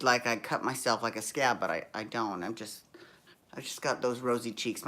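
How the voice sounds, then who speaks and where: A man from the United States hosting a casual recap vlog from a hotel room, his voice deep and tired from a long day on stage, talking in a sassy, rambling way with many asides. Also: sharp tone. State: tired, deepened voice. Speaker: show host.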